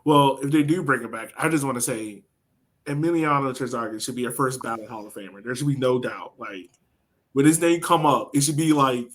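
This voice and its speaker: A man's voice: mid-range voice, fairly quick, a bit bright. A man co-hosting a soccer podcast, talking in an informal, slangy way and telling stories casually. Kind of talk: casual sports chat.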